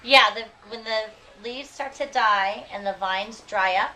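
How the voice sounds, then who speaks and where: A woman teaching young children, reading aloud expressively and deliberately and checking their understanding with questions. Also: a little high, bright, forceful tone. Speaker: elementary school teacher. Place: school classroom. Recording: noisy classroom, distant microphone.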